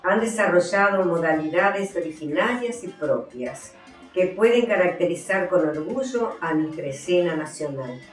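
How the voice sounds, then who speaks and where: Echoey, lower-pitched Argentine woman presenting. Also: animated, normal speed, faint music behind. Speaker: author presenting her books. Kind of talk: book presentation, explanatory talk with some reading. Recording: echoey, somewhat distant from the mic.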